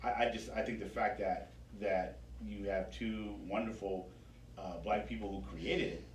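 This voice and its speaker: A man's voice: lowish voice, fairly even intonation, moderate pace. A man hosting a radio interview in casual, jokey, slangy conversation. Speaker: radio host. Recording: echoey room, distant mic, faint noise.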